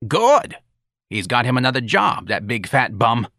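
A man's voice: mid-range voice, very animated, measured pace, sharp tone, no echo, studio quality, pitched above the performer's own voice, full character voice. A man voicing a brash, blunt old grandmother in an audiobook. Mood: nosy, blunt. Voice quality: froggy, croaking. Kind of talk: audiobook character dialogue.

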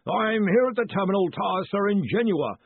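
A nervous, timid researcher from a fantasy storybook. He is high and squeaky, hesitating and quavering with meek deference. The sound is thin and phone-like. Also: expressive, a little fast, breathy edge, no echo, heavily acted. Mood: apologetic.

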